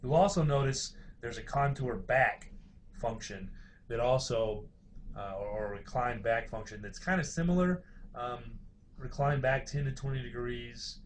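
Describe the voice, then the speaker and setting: A male university lecturer talks through slides in an informal, explanatory style. His voice sits at a medium pitch, and he often hedges and hesitates as he explains. Faint room noise runs behind him. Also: a little slow.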